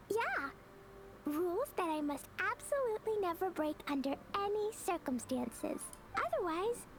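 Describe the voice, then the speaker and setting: Little girl for anime game: tiny high voice, lively pitch, earnest, flustered, sweet. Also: full character voice. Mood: nervous, earnest. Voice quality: childlike. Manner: hesitant, earnest, breathless enthusiasm. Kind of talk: anime video game voice acting. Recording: game audio with clear background noise.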